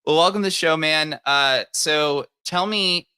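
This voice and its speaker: A man's voice: a little high, unhurried, pushed and bright, clean tone. A man hosting a live video stream, upbeat and chatty with plenty of fillers. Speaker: livestream host. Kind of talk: casual livestream hosting.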